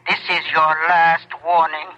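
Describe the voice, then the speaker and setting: A man's voice: on the high side, monotone, unhurried, natural voice. An actor playing a mysterious male caller giving grave, urgent, insistent warnings in 1970s radio drama. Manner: insistent, ominous. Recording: thin, lo-fi old radio recording.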